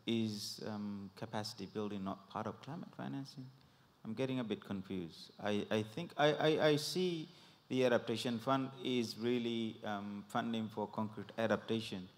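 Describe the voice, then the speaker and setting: Halting man questioning from the floor. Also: fairly low pitch. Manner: halting, many fillers, searching for words. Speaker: audience member asking a question. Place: conference hall. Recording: long reverb in a hall.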